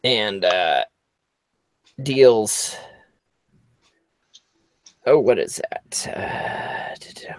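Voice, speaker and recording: A man running a tabletop game, improvising narration as game master in a halting, drawn-out way. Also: on the low side, animated, fairly bright tone, dry recording. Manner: halting, drawn-out storytelling delivery.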